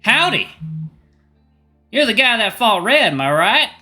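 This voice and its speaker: A tough old man with a folksy drawl, steady and unbothered, put on by a man reading a story. The voice sits noticeably higher than the reader's own and comes out bright and forceful. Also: unhurried, faint music behind, exaggerated voice. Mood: menacing cheer. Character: tough old male fighter, gruff and steady.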